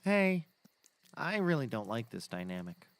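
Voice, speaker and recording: A man plays a naive young male sidekick in an audio comedy. He is earnest and eager, with an animated, swooping voice taken at an unhurried pace. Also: medium pitch, creaky, dry recording. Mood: hurt, eager. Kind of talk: scripted audio comedy acting.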